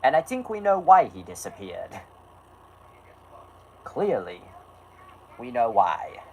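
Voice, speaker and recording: A man's voice: medium pitch, very animated, takes it slowly, lo-fi sound, slight background noise. A man from the United States with a sore throat, chatting casually and theatrically. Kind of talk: casual film review chat.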